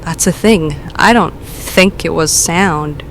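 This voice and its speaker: A woman's voice: on the low side, slight background noise, rough recording. A woman playing a hesitant, self-doubting caller. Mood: uneasy. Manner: hesitant, halting, self-deprecating.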